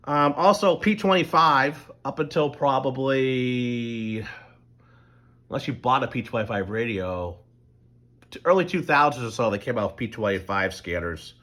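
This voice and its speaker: Brief: middle-aged man, mid-pitched voice, loose unscripted monologue with frequent fillers and restarts. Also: animated, moderate pace, a bit bright. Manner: rambling, loose, full of ums and restarts. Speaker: channel host. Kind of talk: casual explanatory monologue.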